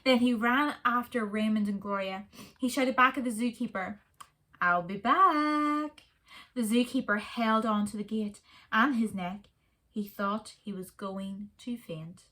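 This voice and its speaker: A woman reading a children's story aloud in a soft, expressive way. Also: medium pitch, unhurried.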